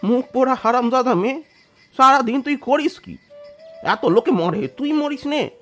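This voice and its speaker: A man's voice: extremely high pitch, animated, normal speed, fairly bright tone, soft background music. A man playing a poor, weary peasant father in an audio drama, rustic and emotional, tender but hot-tempered. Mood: furious, scolding. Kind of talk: dramatised audio story acting.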